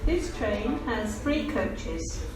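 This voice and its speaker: A woman with an English accent reading formal, measured automated railway announcements in pieced-together phrases over a station public address system. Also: medium pitch, very animated, crowd noise. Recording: distant station PA speakers, clear background noise.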